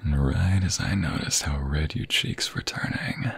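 A man playing an affectionate, flirty husband in an intimate ASMR roleplay, with a breathy, husky, gravelly voice that often drops to a half-whisper. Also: rumbling low, measured pace, slight background noise, full character voice. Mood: tender, flirtatious.

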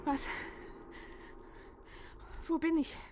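A woman's voice: very slow, very noisy. A woman playing a frightened sixteen-year-old daughter in a horror radio play, stammering and pleading. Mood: terrified.

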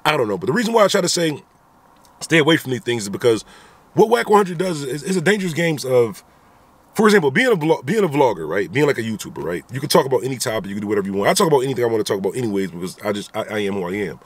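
A man's voice: medium pitch, expressive, racing, husky, no echo. A man in his thirties talking animatedly into a phone camera inside a car, giving his opinions in a vlog with repeated rhetorical asides. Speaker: vlogger. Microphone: phone camera at arm's length.

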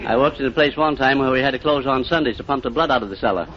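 A man's voice: mid-range voice, racing. Plain-spoken male contestant, noisy old radio. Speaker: quiz contestant, dance hall bouncer. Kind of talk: unscripted answers to host. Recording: noisy muffled 1950 radio recording.

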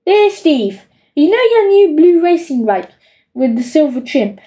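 A woman playing a bossy, high-voiced scheming boy. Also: sing-song, unhurried, dark tone, recorded from a distance. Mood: wheedling, scheming. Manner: gleeful, insulting, emphatic. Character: bossy, greedy, scheming young boy dictating his will.

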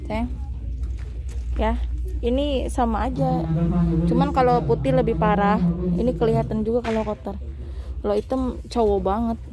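A woman's voice: a little high, steady tone, normal speed, long pauses, a crowd in the background, poor audio. A woman vlogger chatting casually to her viewers while shopping in a large mall shoe store, filmed on a handheld phone. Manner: chatty, addresses viewers directly. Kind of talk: casual shopping vlog chat. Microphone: handheld phone camera. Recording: busy mall ambience behind.

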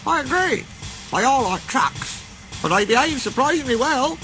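A man voicing a small, cheerful, proud green engine in a children's story, with a squeaky high voice and a chirpy, teasing manner. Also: lively intonation, normal speed, over music, poor audio. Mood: teasing, amused.

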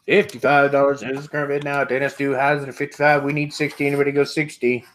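A middle-aged man hosting an online auction, calling bids in a steady repetitive rhythm and laughing often, recorded through an earbud headset on a video call at home. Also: mid-range voice, quick pace. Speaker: auctioneer host. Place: home room with sports memorabilia.

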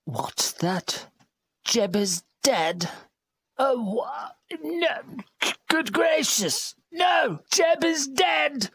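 A man's voice: very animated, very slow, a bit bright, shouting, full character voice. A man playing a fussy, frantic elderly British lady in a comedy radio sketch, wailing and exclaiming. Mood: alarmed. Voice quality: shrill, creaky, theatrical wailing.

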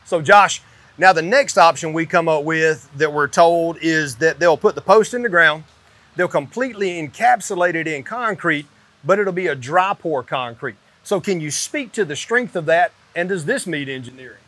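A man's voice: fairly high pitch, expressive, moderate pace, fairly bright tone. A man in his forties presenting an explainer, asking leading questions and summing up the answers. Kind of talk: guided explainer conversation. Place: indoors in a metal-walled barn or shop.

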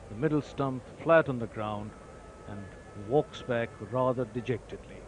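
A man giving ball-by-ball cricket commentary, lifting his voice sharply when wickets fall. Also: mid-range voice. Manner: excited rises on wickets, measured otherwise. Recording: noisy old broadcast tape.

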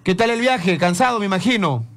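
Male sports radio host, high voice, chatty and meandering. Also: fairly quick. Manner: lively, rambling questions with many restarts. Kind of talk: live radio sports interview. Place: radio studio.

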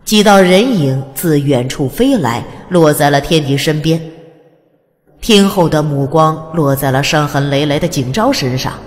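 A woman's voice: low voice, expressive, measured pace, faint music behind. A woman reading scripted narration of a fantasy audiobook in a dramatic storytelling style. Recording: long hall reverb.

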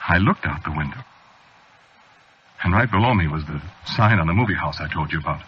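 An American actor playing a haunted man losing his grip, with halting, brooding delivery in a 1940s radio drama style. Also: sits low, expressive, a little hiss or hum. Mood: brooding, confiding. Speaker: lead actor and narrator. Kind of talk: 1940s radio acting, first-person confessional narration. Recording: dull old radio recording with music.

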